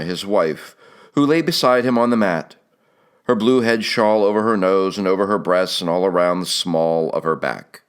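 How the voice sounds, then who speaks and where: A man reading literary fiction as an audiobook narrator, in a measured, expressive way with phrases that soften at their ends. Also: sits low.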